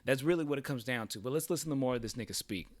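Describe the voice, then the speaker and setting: A man's voice: medium pitch, rapid-fire, dry recording. A man hosting a livestream, reacting casually in a rambling, profane way, often repeating "you know what I'm saying.". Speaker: livestream host.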